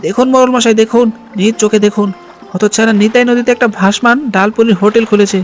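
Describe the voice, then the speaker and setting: A man voicing a sneaky, excitable henchman who fawns on his village boss in a cartoon. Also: sits high, fast, faint music behind, close to an everyday voice. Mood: tattling. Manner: obsequious, excitable. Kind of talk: Bengali cartoon voice acting.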